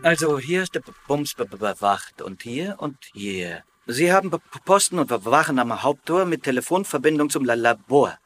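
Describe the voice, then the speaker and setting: An actor playing a cheeky, cautious prisoner of war with a stutter, in a dubbed sitcom. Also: male voice, medium pitch, animated, moderate pace, hardly any pauses, a bit bright, a little hiss or hum, lightly acted. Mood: wry.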